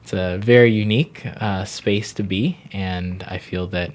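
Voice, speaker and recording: A man's voice: deep voice, fairly even intonation, slight background noise. A man in his thirties from Barbados, a yoga teacher talking unhurriedly and thoughtfully as a podcast guest. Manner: unhurried, thoughtful, frequent "you know". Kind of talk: reflective podcast interview.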